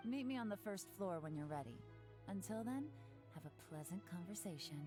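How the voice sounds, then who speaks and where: An actress playing a cool, confident female covert agent in a video game, wry and brisk. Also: mid-range voice, animated, close to an everyday voice. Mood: breezy. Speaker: game character. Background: game soundtrack music.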